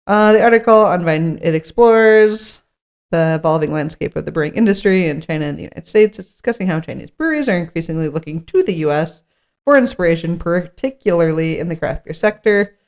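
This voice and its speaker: A woman's voice: lowish voice, darkish tone. A woman co-hosting a podcast, thinking aloud in an informal, musing way and reading out articles. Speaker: podcast co-host. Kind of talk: casual chat with read-out articles.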